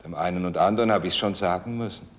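A man's voice: low-pitched, quick pace, lightly acted. An actor playing an aggrieved, boastful, rustic village man in a grumbling drama dialogue. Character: aggrieved, boastful village man, Hitler's self-proclaimed half-brother.

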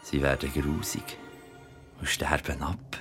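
An actor playing an earthy father who tells a bedtime story in Swiss German, dramatic and drawn-out. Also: very deep voice, fairly even intonation, over music. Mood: ominous, dramatic. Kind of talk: dramatic storytelling in a radio play.

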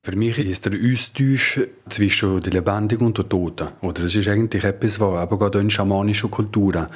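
A man with a Valais Swiss German accent and a husky voice, recounting things spontaneously in an interview. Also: on the low side, a little fast. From Valais, Switzerland. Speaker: band member and lyricist being interviewed.

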